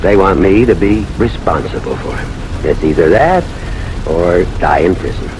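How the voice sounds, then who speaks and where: An actor playing a bitter, stubborn man in a radio drama, with a gruff, emphatic manner. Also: deep voice, animated, moderate pace. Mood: weary, grim. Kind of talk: 1970s radio drama acting. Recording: noisy old radio broadcast recording.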